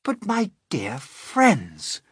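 Man doing a hearty old grasshopper, animated. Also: mid-range voice, unhurried, husky, dry recording, studio quality, pitched above the performer's own voice, heavily acted. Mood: cheerful. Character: cheerful, optimistic old male grasshopper.

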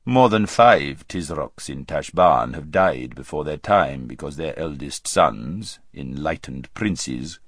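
A man acting a slow, deep, pompous tyrant king. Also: no echo, studio quality, full character voice. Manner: ornate, formal, unhurried speech. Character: enormous, pompous, coldly cunning tyrant king. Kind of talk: audiobook character acting.

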